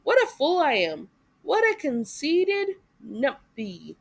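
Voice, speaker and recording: A woman's voice: sits high, huge pitch swings, slow pace, vocal fry, exaggerated voice. A woman voicing a boastful, reckless male toad from a storybook, with a dramatic, exclamatory delivery. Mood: dismayed.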